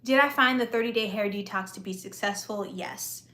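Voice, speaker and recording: A woman's voice: medium pitch, normal speed, sharp tone. A woman chatting with viewers on a live stream, talkative and easygoing, with a soothing voice and lots of "like" and "you know". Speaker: vlogger and aspiring actress. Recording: echoey room.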